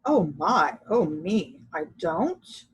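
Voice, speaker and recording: Lady doing a shy lovesick storybook guy, kinda plaintive, slow talker. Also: lowish voice, big pitch swings, a little hiss or hum, full character voice. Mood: surprised. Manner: plaintive, sighing. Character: bashful, smitten, sad storybook man with smelly feet.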